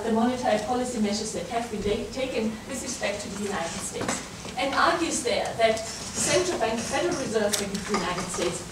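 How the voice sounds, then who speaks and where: A woman with a German accent giving an academic talk to students, often hesitating and restarting her sentences. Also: on the high side. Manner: hesitant, many fillers and restarts. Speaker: guest lecturer. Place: large lecture room. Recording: echoey lecture hall from far off, background noise.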